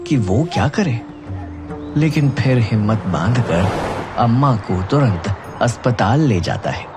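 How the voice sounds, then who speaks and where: A man narrating a scripted moral story as a storyteller. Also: mid-range voice.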